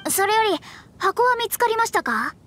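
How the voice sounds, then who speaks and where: A woman's voice: very high-pitched, very animated, normal speed, fairly bright tone, slight background noise, exaggerated voice. A woman voicing a proud young astrologer mage in an anime game, speaking politely and precisely with a slightly haughty air. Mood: exasperated. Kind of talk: Japanese anime game voice acting. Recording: game music behind the voice.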